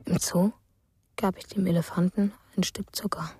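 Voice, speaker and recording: A woman playing a quiet, dreamy narrator of a child's strange stories in a radio drama, husky, soft and unhurried. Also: lowish voice, fairly even intonation, soft background music, close to an everyday voice. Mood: dreamy, detached. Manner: simple, unhurried, matter-of-fact. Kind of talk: slow storytelling in a radio drama.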